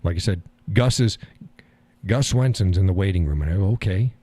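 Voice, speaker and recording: A man hosting a live call-in stream, talking in a calm, easygoing way and chuckling often. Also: low voice, fairly quick, breathy edge, dry recording. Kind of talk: casual chat.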